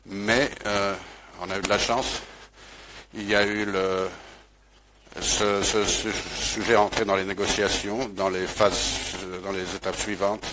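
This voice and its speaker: Low flat-voiced guy doing live interpretation, lots of ums and restarts. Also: a little hiss or hum, low-quality recording. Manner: hesitant, many fillers and restarts. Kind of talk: live interpretation of a webinar talk.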